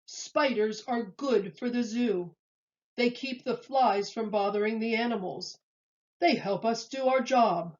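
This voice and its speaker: A woman's voice: on the high side, a little slow, muffled recording, lightly acted. A woman voicing a bossy, commanding male zoo keeper in a children's storybook. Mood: decisive.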